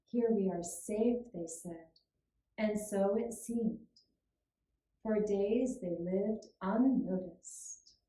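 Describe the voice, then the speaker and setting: A woman narrating a scripted children's puppet-show tale in a measured, deliberate way with pauses. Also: mid-range voice, mellow. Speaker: storyteller. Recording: roomy, echoing room sound.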